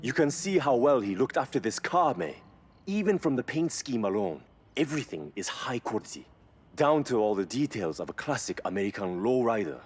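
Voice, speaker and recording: An actor playing an earnest, admiring car mechanic in a video game. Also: male voice, mid-range voice, sing-song, moderate pace, slightly rough. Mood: admiring, thoughtful. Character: Yuji, earnest, reverent male car mechanic. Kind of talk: video game cutscene voice acting.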